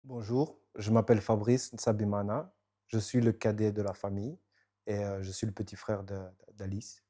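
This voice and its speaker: A man introducing himself and his family, halting with frequent fillers and restarts. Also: lowish voice, level tone, dark tone. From Rwanda and Belgium. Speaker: youngest sibling introducing himself. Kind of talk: spoken self-introduction.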